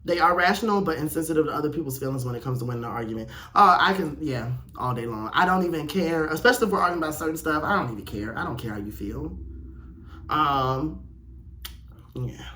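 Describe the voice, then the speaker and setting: A man's voice: a little high, lively intonation, quick pace, pushed and bright. A man hosting a casual solo podcast, reading aloud and reacting in a candid, sassy way with playful swearing. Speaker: podcast host. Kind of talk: casual chat reacting to a quiz read aloud.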